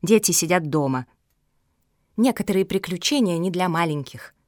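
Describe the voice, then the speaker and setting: A woman voicing a sharp-tongued, cynical female squirrel in a storybook, domineering and insinuating. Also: fairly low pitch, animated, moderate pace, no echo, clean studio sound, close to an everyday voice. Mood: curt, lecturing. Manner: insinuating, triumphant, interrogating.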